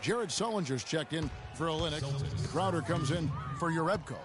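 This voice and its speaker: A man playing a brisk, excitable TV play-by-play announcer in a basketball video game, making clipped calls. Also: a little high, music in the background, lightly acted. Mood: businesslike. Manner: clipped, present-tense calls. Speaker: play-by-play commentator.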